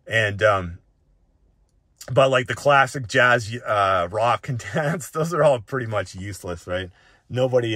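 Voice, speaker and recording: Low-voiced man giving a casual tech walk-through. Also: a bit bright, dry recording. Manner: conversational, often checking in with "right?". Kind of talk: casual, unscripted tutorial talk.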